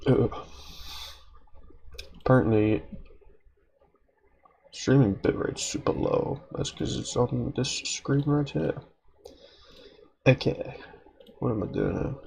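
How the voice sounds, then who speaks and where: A man's voice: medium pitch, gravelly, darkish tone. A man streaming from home, a little nasal while recovering from an illness, rambling to himself as he sets up his stream. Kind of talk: casual stream setup chatter.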